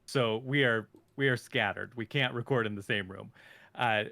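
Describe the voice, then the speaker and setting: A man's voice: mid-range voice, normal speed, vocal fry, pushed and bright. A man chatting casually in a podcast roundtable, talking loosely with many "like" and "uh" fillers.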